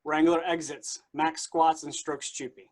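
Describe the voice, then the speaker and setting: A man's voice: on the high side, flat delivery, a little slow. A man reading stage directions aloud as the narrator of a staged play reading.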